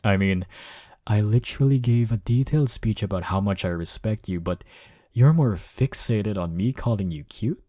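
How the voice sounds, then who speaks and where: Deep-voiced, cocky flirtatious college rival acted by a man. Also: moderate pace, no room sound, studio quality, close to an everyday voice. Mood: teasing, sincere. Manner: smug, flirtatious, drawling teasing with soft chuckles. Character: cocky, teasing male college rival smitten with listener. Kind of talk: intimate romantic audio roleplay.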